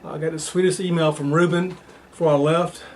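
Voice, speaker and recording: A man's voice: on the high side, little pitch movement, brisk, slight background noise. A man giving an enthusiastic video update about a trip. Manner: enthusiastic and warm-hearted.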